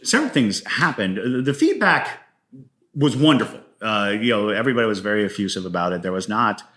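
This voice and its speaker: A man's voice: lowish voice, very animated, fairly quick, a bit bright. A man talking casually and at length as an interview guest, a wordy storyteller who explains his creative work. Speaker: guest playwright and podcaster.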